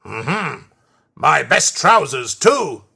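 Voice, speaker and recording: Man playing a stern, pompous, husky railway boss. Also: medium pitch, sing-song, extremely slow, sharp tone, exaggerated voice. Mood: cross, scolding. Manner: pompous, emphatic, scolding.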